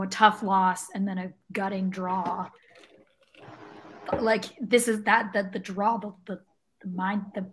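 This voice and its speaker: Woman, medium pitch, casual sports panelist, emphatic, halting phrasing, slight background noise. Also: fairly bright tone, poor audio.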